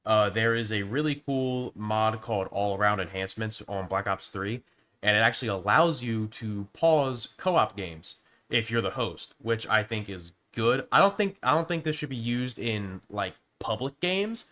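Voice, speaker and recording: A man with a sore throat, sounding hoarse as he gives rambling, casual opinions on a video game, often restarting his sentences. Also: lowish voice, expressive, normal speed, pushed and bright. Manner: rambling with frequent restarts and stammers. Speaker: gaming youtuber. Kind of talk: casual opinion commentary to camera.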